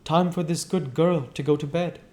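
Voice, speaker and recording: Man as a high-voiced, cool young sportswoman. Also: fairly even intonation, normal speed, mellow, close to an everyday voice. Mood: arch. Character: cool, self-possessed young woman athlete.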